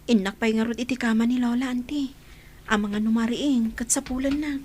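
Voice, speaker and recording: A young woman playing a gentle, dutiful granddaughter in an audio drama, with an Ilocano Filipino accent. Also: on the high side, fairly even intonation, brisk, a little hiss or hum, lightly acted. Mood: concerned.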